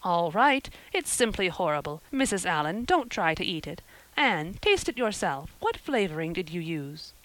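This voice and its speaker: A woman's voice: on the low side, very animated, fairly bright tone, no echo, rough recording. Woman doing a blunt, stern older guardian woman. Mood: exasperated. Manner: brisk, scolding, blunt.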